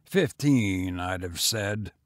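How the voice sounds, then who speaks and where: Guy doing a low quiet weary tramp, gentleman come down in the world, grim and resigned, audiobook. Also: lively intonation, takes it slowly, dry recording, clean studio sound. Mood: brooding. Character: weary, bitter gentleman tramp, fallen on hard times.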